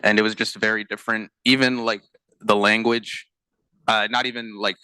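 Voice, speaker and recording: A young man with a bright, smooth voice and low pitch, talking through his experience on a panel. He speaks casually, full of fillers. The sound is dry and close. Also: little pitch movement, normal speed, no room sound, rough recording. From United States. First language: not Arabic. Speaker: panelist, internal medicine physician. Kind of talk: conference panel talk.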